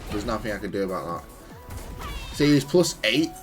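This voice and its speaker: Guy with a lowish voice talking thru his fighting game replay, kinda stop-start explaining, music playing behind. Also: very animated, normal speed. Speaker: gamer analysing own matches.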